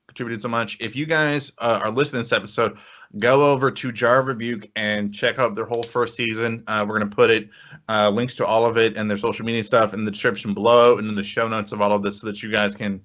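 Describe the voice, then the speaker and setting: Bright-voiced man hosting a podcast. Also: fairly low pitch, steady tone, fast talker. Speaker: podcast host. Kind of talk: casual podcast sign-off and plugs. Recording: dull lo-fi audio.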